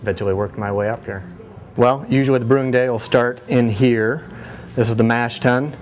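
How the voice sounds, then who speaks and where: A man in his thirties, a head brewer giving a casual tour of his small brewery, relaxed and full of fillers and restarts. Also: medium pitch, moderate pace, faint music behind. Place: small brewery inside a bar, among steel tanks. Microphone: lapel mic.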